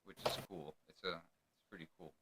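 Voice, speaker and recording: A man's voice: low voice, steady tone, moderate pace, pushed and bright, slightly rough. A man from New York hosting a casual podcast interview, often stumbling and repeating words as he asks his questions. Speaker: podcast host.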